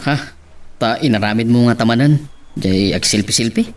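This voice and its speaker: A man playing an affectionate but uneasy husband in an audio love drama, with a Filipino accent and a halting delivery. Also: medium pitch, recorded from a distance, lightly acted. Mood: uneasy, probing.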